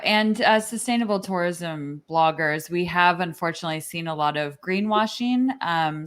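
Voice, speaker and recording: Low-voiced woman travel blogger. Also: a bit bright, smooth. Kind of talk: casual panel discussion.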